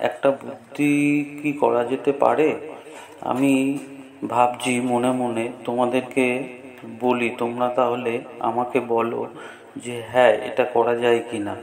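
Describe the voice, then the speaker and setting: A worried parent bird from a cartoon, played by a man, thinking aloud with care. The voice is medium-pitched, flat and hesitant. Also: creaky. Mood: thoughtful, worried. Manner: hesitant, deliberate. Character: worried, thoughtful father bird devising a plan. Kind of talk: cartoon story voice acting.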